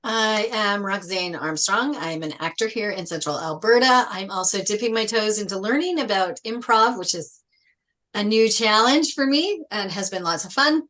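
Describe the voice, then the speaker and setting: A woman's voice: medium pitch, lively intonation, normal speed, fairly bright tone. A woman from Canada, an actor, chatting and introducing herself in a casual way. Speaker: actor at an online table read. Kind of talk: casual self-introduction and chat.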